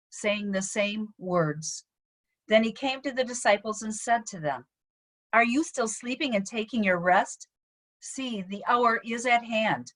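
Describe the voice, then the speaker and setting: A middle-aged woman pastor giving a measured, solemn dramatic scripture reading over a video call. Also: medium pitch, fairly bright tone, low-quality recording. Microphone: video call microphone.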